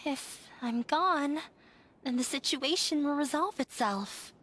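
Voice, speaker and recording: A woman's voice: sits high, slow. A timid, self-blaming young woman. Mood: downcast. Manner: soft, hesitant, apologetic. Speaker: game character. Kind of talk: video game voice acting.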